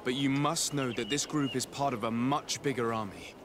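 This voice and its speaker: A man playing a calm, courteous noble envoy in a video game, speaking in a measured, formal, conciliatory way. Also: mid-range voice, noisy background, close to an everyday voice. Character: calm diplomatic male legate, courteous young noble envoy. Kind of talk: scripted video game cutscene dialogue.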